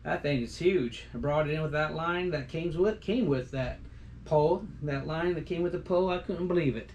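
Middle-aged man, folksy rambling vlog chat, fairly high voice, faint background hiss, small room. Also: normal speed, darkish tone. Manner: loose, folksy, full of restarts and fillers. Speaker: outdoor vlogger. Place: small decorated home room, indoors.

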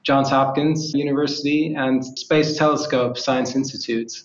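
Male guest, mid-pitched, filler-heavy, echoey lo-fi remote audio. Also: moderate pace. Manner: steady, with frequent "you know" and "uh". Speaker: lead data scientist guest. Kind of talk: casual interview answers. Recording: roomy, dull remote audio.

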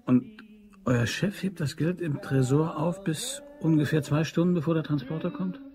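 An actor playing a scheming, persuasive ex-convict locksmith in a German crime radio drama, with slangy, insinuating talk. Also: male voice, a little high, moderate pace, darkish tone, soft background music, close to an everyday voice. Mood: probing.

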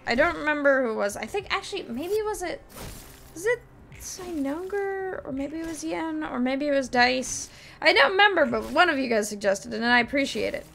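A woman's voice: high-pitched, expressive, fairly quick, gravelly, a bit bright. A young woman streaming a card game at her desk, chatting gleefully with viewers, laughing and swearing casually, her voice a little strained. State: voice slightly strained. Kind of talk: casual gameplay commentary. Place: small room at a gaming desk. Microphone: desk mic, webcam at computer. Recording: game music faintly behind.

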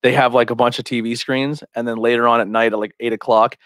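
A man's voice: mid-range voice, level tone, fast, fairly bright tone, no room sound, clean studio sound. An American man chatting casually on a podcast, telling rambling, profanity-laced stories. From Pennsylvania, US. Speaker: podcast host. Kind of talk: casual chat, anecdotes. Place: small curtained podcast room. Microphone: podcast microphone, headphones.

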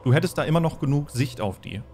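A man's voice: on the high side, expressive, fast, darkish tone, a little hiss or hum. A man acting as game master, explaining rules and narrating a tabletop role-playing game in a conversational way.

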